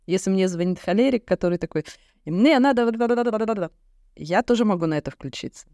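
A woman co-hosts a casual podcast in an expressive, giggly way. She talks as if to a friend, illustrating her points with examples. The sound is dry and close to the microphone. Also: mid-range voice, fast, dry recording. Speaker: podcast co-host. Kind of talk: casual chat between friends.

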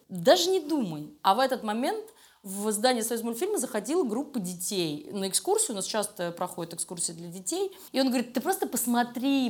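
Higher-voiced woman telling stories casually. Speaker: animation studio creative director being interviewed. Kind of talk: casual conversational storytelling interview.

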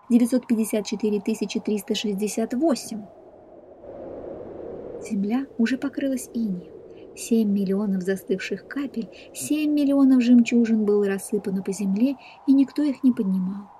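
A woman narrating a scripted fairy tale in a measured, expressive storyteller's manner. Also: fairly high pitch, slight background noise.

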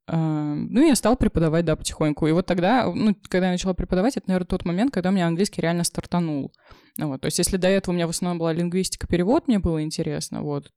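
Fast-talking chatty young woman. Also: on the low side, warm tone, no room sound, studio quality. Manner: fast, chatty, lots of fillers and laughs. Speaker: guest, English teacher. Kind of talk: casual storytelling chat.

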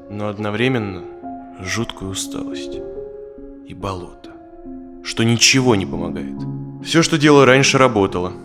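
Actor as reflective, self-doubting low-voiced businessman. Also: lightly acted. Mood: troubled. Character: anxious, self-doubting businessman client in psychodrama therapy. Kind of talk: dramatized audio play acting. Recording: music behind the voice.

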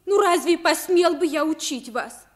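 Timid, very high-voiced servant boy. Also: expressive, moderate pace. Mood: earnest. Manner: pleading, earnest. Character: timid, humble young servant boy, eager to please. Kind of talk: 1950s Russian radio play acting.

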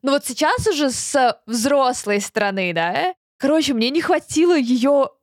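A woman's voice: high voice, animated, normal speed, dry recording, studio quality. A young woman chatting casually as a podcast co-host, talking informally with slang and English loanwords. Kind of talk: casual chat about films.

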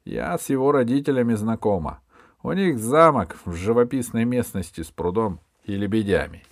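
Man putting on a high, haughty queen. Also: expressive, normal speed, no echo, clean studio sound. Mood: insistent. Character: haughty, argumentative queen stepmother.

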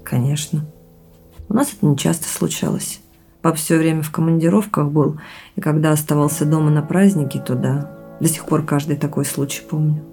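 A woman's voice: low-pitched, steady tone, moderate pace, warm tone. An actress playing a thoughtful young woman in a naturalistic audio drama, speaking in a reflective, earnest way. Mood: reflective, wistful. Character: thoughtful young woman sharing family mystery with boyfriend. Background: music underneath.

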